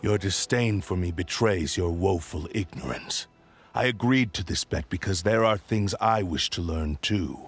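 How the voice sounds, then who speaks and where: An actor voicing a proud, menacing sentient cursed sword in a game cutscene, speaking in a haughty, slow and commanding way with a gravelly voice. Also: male voice, low voice, soft background music.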